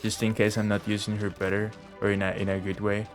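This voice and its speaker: A man's voice: fairly low pitch, completely flat delivery, fast. Profane man streaming a video game. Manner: profane, reactive, talks to game and viewers. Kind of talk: casual live gameplay commentary. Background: game music.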